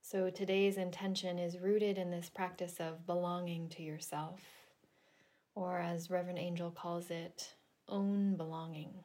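Woman doing guided meditation, really slow and soothing, kinda low voice, lots of pauses. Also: level tone. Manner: soothing, deliberate, gentle, with long pauses. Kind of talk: guided yoga nidra meditation.

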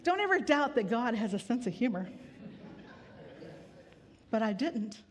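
A woman pastor giving a sermon in a relaxed, conversational way with gentle humor, weary from grief. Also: on the high side, unhurried. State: grieving, tired. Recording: long hall reverb.

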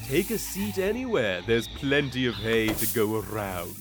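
A man's voice: mid-range voice, lively intonation, a little slow. A man playing a smug, courteous chicken crime boss with a pompous drawl in a comic audio drama. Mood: mocking.

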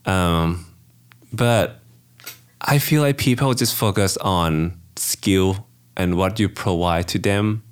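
Thai-accented male wedding photographer, low voice, relaxed chatty guest. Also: slow, clear, resonant tone. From Thailand. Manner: non-native English with dropped grammar endings, laughs easily. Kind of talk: casual interview chat.

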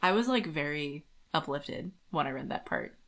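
Low-pitched woman chatting casually. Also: moderate pace. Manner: animated, colloquial, peppered with "like". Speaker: podcast co-host. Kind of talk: casual book discussion chat.

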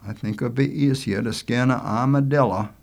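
A man's voice: mid-range voice, fairly quick, gravelly, pitched above the performer's own voice, close to an everyday voice. A man voicing an easygoing, teasing young coastal fellow with colloquial, folksy speech in a storybook reading. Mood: agreeable.

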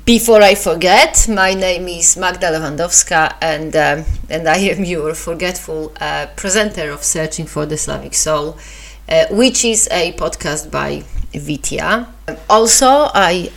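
Woman, Polish accent, solo podcast host; slow, halting, self-deprecating talk. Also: fairly low pitch, gravelly. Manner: hesitant, many fillers and restarts, self-deprecating.

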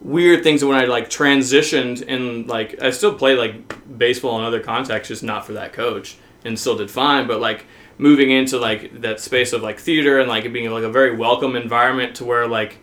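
An American man from the South talking casually and openly about himself, haltingly, with "like" as a constant filler. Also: medium pitch, fairly even intonation, a bit bright. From southern United States. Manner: halting, heavy use of "like" as filler; open, self-revealing. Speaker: podcast guest.

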